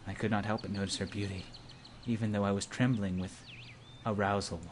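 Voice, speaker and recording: A man's voice: fairly low pitch, level tone, fairly quick. A young man reading a visual novel aloud as an amateur narrator, in a hammy, playful way that mixes dramatic reading with joking asides. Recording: amateur home recording with clear background noise.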